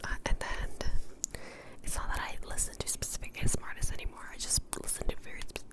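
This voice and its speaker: A young woman whispering in a breathy, casual, rambling ASMR style as she answers questions, softly repeating words now and then. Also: quick pace. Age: young adult. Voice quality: breathy, mostly unvoiced whisper.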